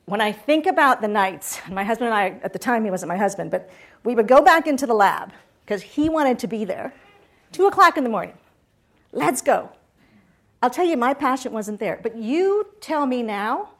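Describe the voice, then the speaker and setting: Chatty woman giving a lively talk. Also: on the high side, normal speed. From Puerto Rico. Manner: chatty, asks audience questions. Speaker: guest speaker.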